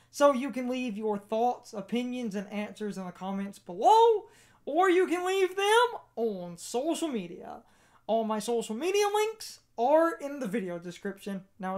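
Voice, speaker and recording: Lively woman hosting a gaming video. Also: a little high. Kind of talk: casual game commentary with a co-host.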